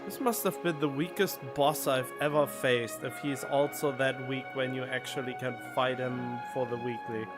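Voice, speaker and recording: A man's voice: medium pitch, fairly even intonation, moderate pace, hardly any pauses. A man streaming a game, giving casual, blunt and sardonic commentary on the playthrough. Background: game music.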